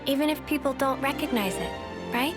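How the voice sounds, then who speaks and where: A woman's voice: high voice, lively intonation, a little fast, over music. An actress playing a timid, sweet, self-doubting young woman in a video game, hesitant and full of wonder. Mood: softly admiring. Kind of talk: video game cutscene acting.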